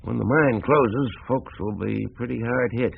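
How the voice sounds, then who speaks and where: An actor playing a proud, folksy older American small-town businessman in a radio drama, with a husky, gravelly voice and a plain-spoken manner. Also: mid-range voice, measured pace, narrow, tinny audio, heavily acted. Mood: proud, nostalgic.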